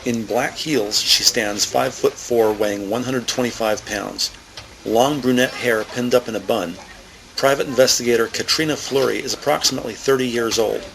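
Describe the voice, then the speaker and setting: Creaky-voiced male drama narrator. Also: mid-range voice, normal speed, fairly bright tone, slight background noise, rough recording. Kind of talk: scripted present-tense scene narration.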